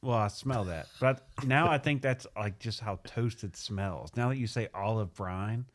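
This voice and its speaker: A man co-hosting a casual tasting chat in a podcast studio, talking quickly with lots of fillers. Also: lowish voice. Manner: quick, wordy, many fillers. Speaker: podcast co-host.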